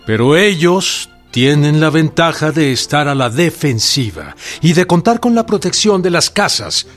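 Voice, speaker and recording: A cautious leader of a revolution in a radio drama. He sounds formal and authoritative, with a Mexican accent and a fairly high, lively voice over faint music. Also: a little slow. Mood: cautious, reasoning. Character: cautious male president leading a revolution.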